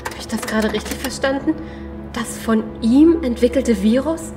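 A woman's voice: on the high side, moderate pace, music in the background, natural voice. An actress playing an alarmed, questioning female survivor in a horror audio drama.

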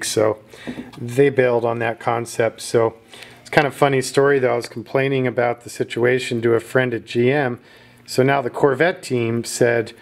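A man giving a casual walking tour of his custom vehicle workshop, listing technical details with frequent fillers. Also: mid-range voice. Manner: relaxed, technical, filler-heavy. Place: large indoor workshop and showroom.

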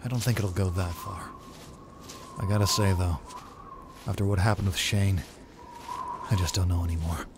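A man's voice: deep voice, steady tone, normal speed, pauses often, background noise, close to an everyday voice. A man voicing a tense, decisive survivor leader and protective father in a comic dub. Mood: grave, uneasy. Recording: loud music bed under the voice.

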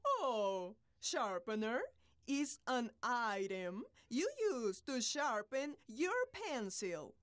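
A woman's voice: a little high, very animated, no room sound, studio quality, heavily acted. A woman voicing a patient, instructive female schoolteacher puppet in a scripted educational show. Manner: deliberate, instructive. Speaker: teacher.

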